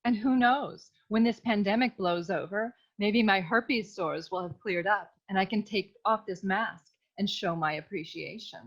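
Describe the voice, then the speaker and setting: A woman's voice: medium pitch, measured pace, few pauses. A woman acting a melodramatic young damsel client in a comic noir radio serial, earnest and sweet, on a slightly lo-fi recording. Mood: grateful, swooning. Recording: slightly dull, lo-fi microphone.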